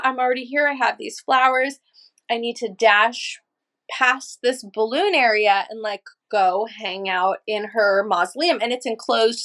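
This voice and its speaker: A woman from Los Angeles, a podcast guest, telling stories in a lively, casual way with easy swearing and laughter. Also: fairly high pitch. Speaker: podcast guest, energy healer and channeler. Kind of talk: casual storytelling chat.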